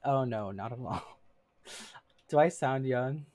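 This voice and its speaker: Casual gaming streamer, 30s, chatty, giggly, filler-heavy, mid pitch. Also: slow pace, a little mellow. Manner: chatty, laughs often, many fillers. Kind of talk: casual live-stream chat with viewers.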